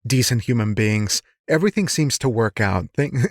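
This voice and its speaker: A man with a medium-pitched voice interviews a guest as podcast host. He phrases things reflectively, searching for his wording, in a casual style. The sound is dry, with no room echo. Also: expressive, moderate pace. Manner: reflective, searching phrasing, frequent short affirmations.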